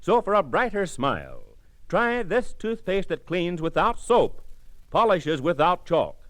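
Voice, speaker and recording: Man, vintage radio advertisement, emphatic, highish pitch, lively intonation, dry sound. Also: a little slow, no echo. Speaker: radio commercial announcer.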